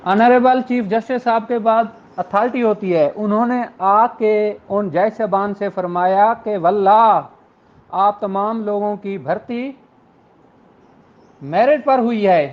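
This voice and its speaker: A man's voice: sits high, takes it slowly, highs cut off. A man with a Pakistani accent lecturing law students in an earnest, rhetorical way, repeating phrases for emphasis.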